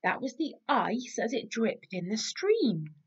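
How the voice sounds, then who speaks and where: Woman as a patient big bear, lightly husky. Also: on the high side, very animated, unhurried, muffled recording, voice pushed up, heavily acted. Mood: reassuring. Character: big grown male bear, patient, reassuring guardian.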